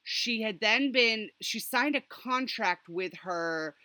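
A woman podcast host chatting casually and telling history stories in an animated, rambling way with sardonic asides. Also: fairly high pitch, slow pace, no room sound. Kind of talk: casual storytelling chat about history.

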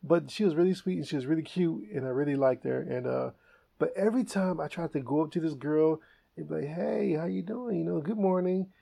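A man hosting a comedy podcast, telling stories in a casual, animated way and laughing often. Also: a little high, a little fast, vocal fry, slightly rough. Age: adult. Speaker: podcast host.

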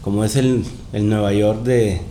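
A man's voice: steady tone, unhurried, mellow. A man chatting casually as a podcast guest. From Mexico and Panama. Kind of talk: casual chat. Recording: roomy echo, noticeable rumbling noise.

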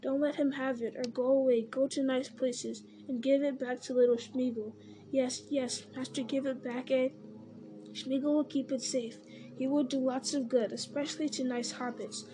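A scrawny, pitiful male creature whines and begs in a high, pleading voice, his tone soft and mellow underneath. A woman reads him aloud in an audiobook, pushing her voice upward. There is a faint hiss behind. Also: fairly even intonation, moderate pace, lightly acted. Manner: whining, pleading, speaks of himself by name. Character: wretched wheedling male creature, scrawny, fawning.

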